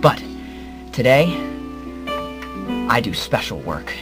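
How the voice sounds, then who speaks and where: Man as a halting, hapless hero over music. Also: mid-range voice, sing-song. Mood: rambling, earnest. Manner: halting, melodramatic, rambling. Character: earnest, hapless grown male hero telling his story. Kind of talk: comedic radio drama narration.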